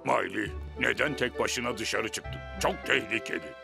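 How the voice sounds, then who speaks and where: Kids' cartoon dub: a stern, protective manager car, gravelly and firm, over loud music. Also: male voice, mid-range voice, lively intonation, normal speed. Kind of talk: dubbed children's cartoon. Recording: studio voice under loud music.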